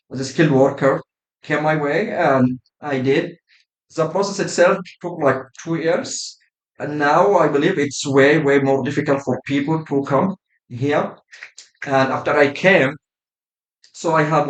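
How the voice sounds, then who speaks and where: A man in his thirties from Sudan, a guest on a podcast, speaking in a measured way and often adding "right?" as he talks. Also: mid-range voice, reverberant, low-quality recording. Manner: measured, with frequent "uh" and "right?" tags. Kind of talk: casual podcast conversation.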